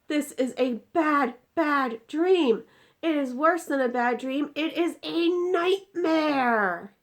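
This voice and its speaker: A woman's voice: high voice, takes it slowly, pitched above the performer's own voice. A woman voicing an indignant, distressed giant from a storybook, exclaiming in mangled grammar and drawing out words emphatically. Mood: frantic, anguished.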